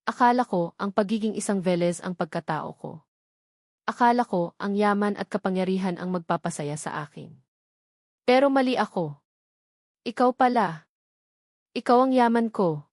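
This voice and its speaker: A woman voicing a young wealthy groom, earnest and deeply moved as he speaks. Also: normal speed, pauses often, a little mellow, dry recording, clean studio sound, close to an everyday voice. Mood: heartfelt. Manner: earnest, emotional vows.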